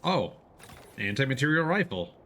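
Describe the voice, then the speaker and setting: A man streams a video game, talking casually to his viewers in a mid-range voice. His pitch moves widely and excitably as he comments on play. Faint game noise sits behind him. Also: moderate pace. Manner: excitable, exclaims when surprised. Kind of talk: live gaming commentary, casual chat with viewers.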